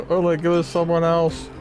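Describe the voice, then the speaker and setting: A man's voice: fairly high pitch, darkish tone. A man giving casual, offhand playthrough commentary as a gaming host, sometimes reading aloud with stumbles. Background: game music.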